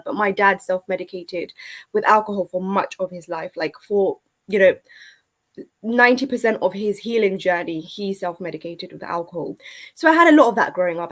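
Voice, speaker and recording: A woman's voice: medium pitch, fairly bright tone. A woman with a London accent, a podcast guest talking candidly and conversationally about personal experience, often saying like and you know. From UK, born in India to an Afghan family, moved at three. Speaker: podcast guest, author and speaker. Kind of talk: candid personal storytelling in an interview.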